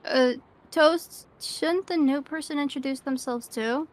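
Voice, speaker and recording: A woman plays a shy, shrinking young boy in an audio drama. The voice is high and slow, halting with timid hesitation. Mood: shy, nervous.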